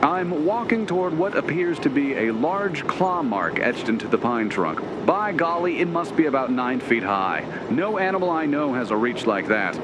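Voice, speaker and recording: Showy field reporter, noisy ambience. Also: male voice, fairly high pitch, sing-song, normal speed, hardly any pauses. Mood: breezy, showy. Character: breezy, folksy male field news reporter. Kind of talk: parody live news report. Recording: noisy outdoor-style ambience.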